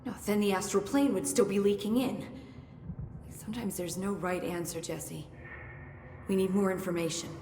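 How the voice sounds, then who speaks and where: A woman's voice: medium pitch, normal speed, pauses often, warm tone, natural voice. A woman playing an earnest, analytical, cautious research scientist in a video game cutscene, with a precise, urgent way of explaining. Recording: echoey hall, faint noise.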